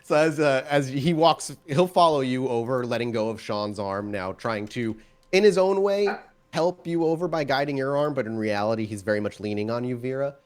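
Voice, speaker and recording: A man improvising narration as the game master of a tabletop game in a conversational, animated way. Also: medium pitch, moderate pace.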